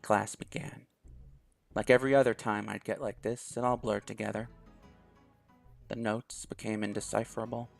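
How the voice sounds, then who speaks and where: A man's voice: fairly low pitch, little pitch movement, normal speed, vocal fry, pauses often, faint music behind. Young man reading a game aloud. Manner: chatty, laughs easily, thinks aloud. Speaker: let's play host. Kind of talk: reading a visual novel aloud.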